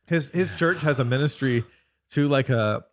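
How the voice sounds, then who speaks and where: A man co-hosting a podcast, talking in an animated way. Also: medium pitch, moderate pace, a little hiss or hum. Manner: animated, laughing. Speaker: podcast co-host.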